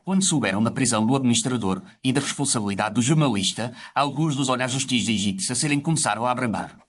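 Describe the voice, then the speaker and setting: An actor playing a reflective gentlemanly doctor who narrates his memoirs in formal, literary first-person storytelling. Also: mid-range voice, quick pace, slight background noise, lightly acted.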